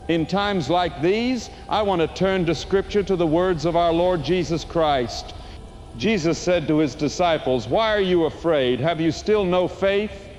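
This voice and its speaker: Man acting as a higher-voiced, earnest small-town preacher. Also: a little hiss or hum. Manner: grave, earnest, deliberate. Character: consoling, fervent male small-town preacher. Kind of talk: scripted evening sermon in an audio drama.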